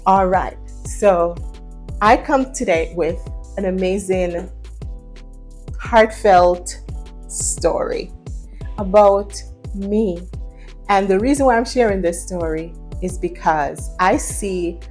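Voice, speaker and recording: A woman's voice: medium pitch, slow pace, long pauses, a little mellow, soft background music. A Jamaican woman vlogging from her home, talking earnestly to camera in a preachy, emphatic way and repeating phrases for stress.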